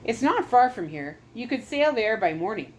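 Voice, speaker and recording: A man's voice: high-pitched, sing-song, moderate pace. Worried island missionary, urgent and warning; a woman reader voices him. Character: anxious island missionary man.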